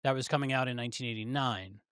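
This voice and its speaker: A man's voice: medium pitch, quick pace, fairly bright tone, clear, resonant tone, no room sound, clean studio sound. A man co-hosting a podcast, talking casually about pop culture in a review chat. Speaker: podcast co-host.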